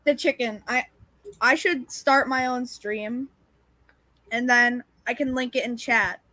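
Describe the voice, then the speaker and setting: A teenage boy chatting on a livestream, eager and quick to jump in with questions and complaints. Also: very high-pitched, bright, forceful tone, no room sound. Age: teen. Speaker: livestream co-host. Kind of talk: casual livestream chat.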